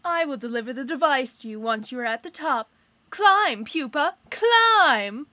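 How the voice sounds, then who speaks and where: A woman performs a high-pitched young troll girl in fandub style. She is bossy and theatrical, mocking whoever she talks to with impatient, sarcastic bite. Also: animated, unhurried, bright, forceful tone, exaggerated voice. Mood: lecturing, condescending. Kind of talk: amateur fandub audition acting.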